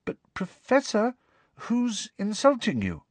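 A man voicing a timid teenage schoolgirl who speaks in a hushed voice. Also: high voice, sing-song, normal speed, dry recording, voice pushed up.

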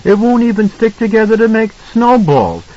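A man voicing a frightened, tearful small boy in an audiobook, pleading and earnest, drawing out his halting words. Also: sits high, sing-song, dark tone, muffled recording, pitched above the performer's own voice. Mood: earnest, hurt. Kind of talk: audiobook character acting.

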